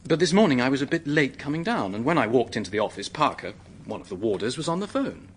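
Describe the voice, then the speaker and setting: An actor playing an earnest, polite young British secretary who is anxious and hesitant, in a radio drama. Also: male voice, medium pitch, very animated, quick pace, a little hiss or hum, close to an everyday voice. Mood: earnest, explaining. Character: earnest, flustered young English male secretary, helpful and anxious.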